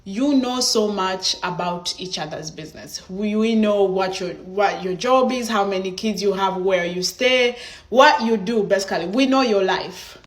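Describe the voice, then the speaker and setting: A woman with a Ugandan accent speaks at a medium pitch, recounting her observations from home. She is blunt and teases a little as she talks. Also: moderate pace. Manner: frank, lightly mocking. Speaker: content creator in a played clip. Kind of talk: storytelling commentary.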